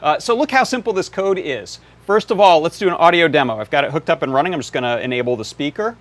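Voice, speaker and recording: A man's voice: on the high side, expressive, fast talker. A man hosting a live electronics workshop, explaining demonstrations in a casual way. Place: indoor workshop.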